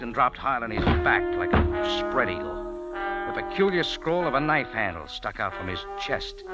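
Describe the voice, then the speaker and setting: An actor playing a tough, wisecracking cafe owner who narrates in a clipped, hard-boiled style, in 1940s radio acting. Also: male voice, medium pitch, lightly acted, poor audio. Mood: narrating. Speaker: lead and narrator.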